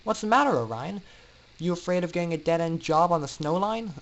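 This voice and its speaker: A man's voice: on the high side, expressive, a little fast, noisy background, voice pushed up. A man voicing a brash, confident teenage girl pony in a story reading, with a teasing, emphatic manner. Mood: cocky, teasing.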